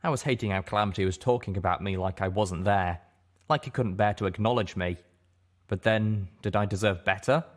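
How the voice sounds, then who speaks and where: A man narrating a dramatic first-person story in an expressive, theatrical audiobook manner. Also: lowish voice, brisk.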